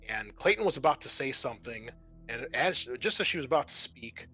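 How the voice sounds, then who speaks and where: A man's voice: medium pitch, sharp tone. A man narrating an improvised tabletop game as game master, hesitating as he searches for words.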